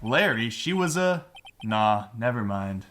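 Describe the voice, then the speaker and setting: A man's voice: fairly low pitch, very animated, takes it slowly, a bit bright, heavily acted. A man voicing an earnest, awkward young male lawyer in a fan-dubbed game, hesitant and a little wry. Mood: exasperated. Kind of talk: fan-dub video game dialogue and inner narration.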